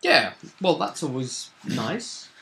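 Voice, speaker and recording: A man's voice: mid-range voice, sing-song, slow, gravelly, pushed and bright. A man hosting a vidcast as game master, chatting casually and animatedly to viewers as he rambles through a roleplay session recap.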